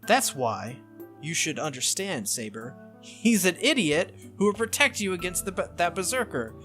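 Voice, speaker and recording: A man's voice: high-pitched, sing-song, moderate pace, fairly bright tone, soft background music, pitched above the performer's own voice. A man voicing a bossy, exasperated teenage girl mage from a visual novel, blunt and scolding in manner.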